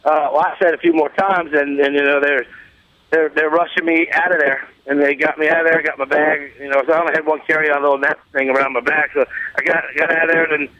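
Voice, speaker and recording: A man telling a rambling casual anecdote on a radio talk show, halting and repeating himself, with plain folksy grammar. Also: a little high, flat delivery, sharp tone, no echo, lo-fi sound.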